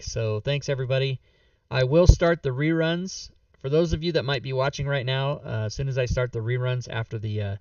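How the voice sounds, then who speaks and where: A man's voice: medium pitch, dry recording, distant mic. A male game master winding down a stream in a loose, rambling way, full of "uh"s, his voice starting to go. His mic sits a bit back from him. The sound is dry and dull. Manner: rambling, relaxed, lots of fillers. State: losing his voice. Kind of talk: casual end-of-stream chat.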